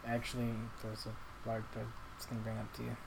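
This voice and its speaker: A man's voice: lowish voice, completely flat delivery, brisk, gravelly. A tipsy man co-hosting a casual podcast, laid back with mumbled asides. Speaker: podcast co-host. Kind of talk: casual chat. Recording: audible background noise.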